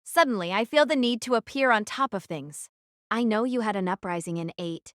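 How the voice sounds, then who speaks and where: A woman's voice: mid-range voice, brisk, dry recording, studio quality, natural voice. A woman playing a guarded, wary teenage girl archer who narrates her own story in an audio drama. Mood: suspicious, guarded.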